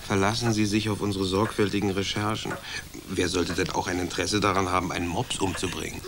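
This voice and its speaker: An actor playing a sly, suave gentleman who offers false sympathy in a radio drama. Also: deep voice, little pitch movement, a little fast, background noise.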